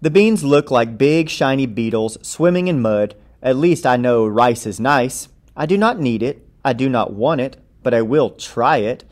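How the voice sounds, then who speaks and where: A man with a mid-pitched voice reads a picture book aloud. He is a playful storyteller who acts out the story with plenty of expression. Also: animated, a little slow, few pauses, darkish tone.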